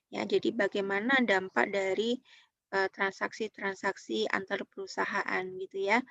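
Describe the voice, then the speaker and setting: A woman with an Indonesian accent giving an explanatory lecture as a university teacher, speaking haltingly and often checking in with short tag words. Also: medium pitch, gravelly, bright, forceful tone, no room sound, muffled recording. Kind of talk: online accounting lecture, explanatory.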